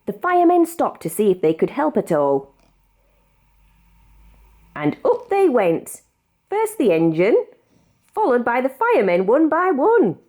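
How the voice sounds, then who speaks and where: A British woman narrates a children's storybook in a fairly high voice. Her pitch swings up and down in a very animated way, with expressive, measured delivery suited to reading aloud.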